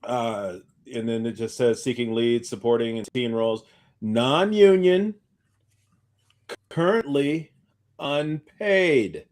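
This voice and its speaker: A man co-hosting a podcast, telling stories in a casual, animated, rambling way and often stumbling over repeated starts. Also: medium pitch, slow. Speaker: podcast co-host. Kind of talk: casual chat, recounting anecdotes.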